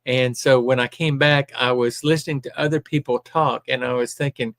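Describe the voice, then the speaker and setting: A man's voice: mid-range voice, fairly even intonation, normal speed, a bit bright, no echo, clean studio sound. An older retired man from Alabama with a Southern accent, hosting a live stream and chatting casually as he reads viewer comments aloud with plenty of ums.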